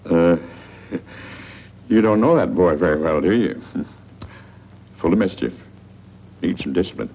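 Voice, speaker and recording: Wry, low-voiced small-town gossip. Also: male voice, animated, a little slow, long pauses, heavily acted. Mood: teasing. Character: folksy small-town newsman, a teasing gossipy man. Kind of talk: 1940s radio acting. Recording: muffled, noisy old radio recording.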